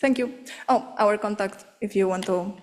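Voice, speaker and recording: A woman's voice: mid-range voice, moderate pace, darkish tone. A woman giving a conference presentation and answering questions, talking in a hesitant way with many fillers. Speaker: symposium presenter. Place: conference hall. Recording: long hall reverb.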